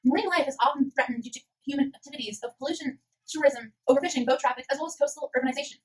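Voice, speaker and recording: A woman's voice: high-pitched, racing, lightly acted, poor audio. A woman voicing an earnest puppet science co-presenter, reading scripted educational narration in an explanatory way. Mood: earnest explaining.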